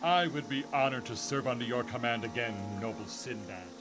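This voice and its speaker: A man's voice: mid-range voice, a little fast, lightly acted. A man playing a loyal, earnest ship's first mate in a radio drama, speaking formally. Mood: loyal, respectful. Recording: distant, echoey, loud music underneath.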